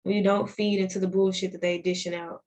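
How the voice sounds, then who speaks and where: A woman's voice: medium pitch, flat delivery, fast, a little mellow. A young woman with a Southern US accent, a podcast guest talking animatedly in casual chat and often restarting her phrases.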